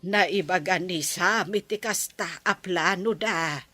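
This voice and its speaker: A woman playing a mother in a comedy radio drama, speaking in a slow, advising tone. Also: fairly low pitch, bright, forceful tone, soft background music. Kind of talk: Ilocano radio drama acting.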